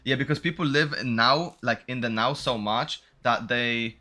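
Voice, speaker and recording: Bright-voiced casual male game streamer. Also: mid-range voice, moderate pace. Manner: informal, slangy, rambling reactions. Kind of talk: casual playthrough commentary mixed with reading dialogue aloud.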